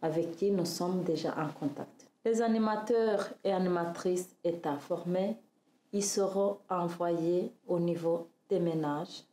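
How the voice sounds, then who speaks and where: Slow-speaking woman from Rwanda, echoey room. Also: lowish voice, warm tone, a little hiss or hum. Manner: slow, measured, with pauses and hesitations. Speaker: nutritionist interviewee. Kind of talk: interview testimony.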